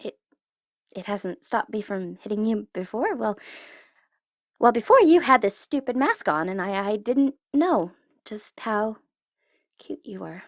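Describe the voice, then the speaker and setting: A woman performs a female supervillain in an intimate, dry-sounding ASMR roleplay. Her pitch swings widely as she taunts with condescension, then softens into flustered, whispery teasing. She takes her time, savouring her power over a captive hero. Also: big pitch swings, measured pace, no echo. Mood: menacing, smitten. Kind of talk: ASMR audio roleplay acting.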